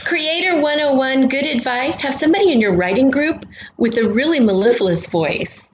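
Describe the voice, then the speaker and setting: A woman's voice: high voice, moderate pace. A woman talking casually and reflectively as a guest writer in a podcast interview. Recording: roomy, dull lo-fi, faint noise.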